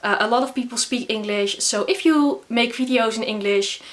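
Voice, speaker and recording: A young Dutch woman with a Dutch accent, talking casually to camera in English, often pausing with fillers. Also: on the high side. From the Netherlands. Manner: chatty, frequent filler words and restarts. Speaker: booktuber. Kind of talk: casual vlog talk to camera.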